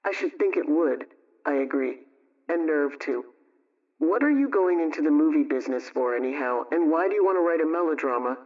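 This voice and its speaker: Higher-voiced man on dull old radio. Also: expressive, a little fast, distant mic. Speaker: household advice program host. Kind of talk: scripted old-time radio homemaking chat.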